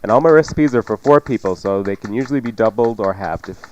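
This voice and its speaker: A man's voice: on the low side, expressive, fast talker, dull audio, slight background noise. A man hosting a cooking show, chatting through recipe instructions off the cuff with joking asides. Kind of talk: casual unscripted radio cooking instruction.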